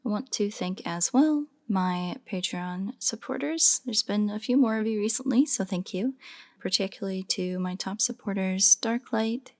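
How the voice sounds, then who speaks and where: A soft-spoken woman casually addressing her listeners in a relaxed way, as an audio roleplay creator. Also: mid-range voice, moderate pace. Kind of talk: casual outro thanking listeners.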